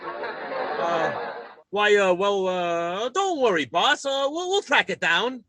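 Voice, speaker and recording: A high-pitched, forceful working stiff from old-time radio comedy. He is a bumbling, easily flustered husband who stammers through folksy, ungrammatical talk with big swings of pitch. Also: lively intonation, takes it slowly, dry recording, exaggerated voice. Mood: flustered, stalling.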